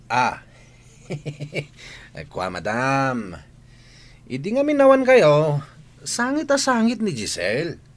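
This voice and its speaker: An actor playing a nervous, gossipy household servant in an Ilocano comedy radio drama, stammering and exclaiming in a husky voice. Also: male voice, fairly high pitch, wildly swooping pitch, slow, long pauses, full character voice. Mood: flustered.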